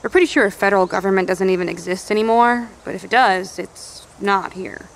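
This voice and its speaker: A woman's voice: mid-range voice, fairly quick, vocal fry, close to an everyday voice. A woman playing a plain-spoken, no-nonsense survivor in charge of an outpost, blunt and folksy in an audio drama. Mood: matter-of-fact.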